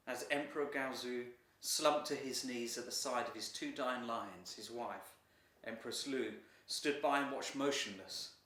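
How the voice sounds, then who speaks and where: A man reading a children's story aloud as a storyteller, with dramatic, expressive delivery. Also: mid-range voice. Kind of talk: scripted storytelling narration. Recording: roomy echo, distant from mic.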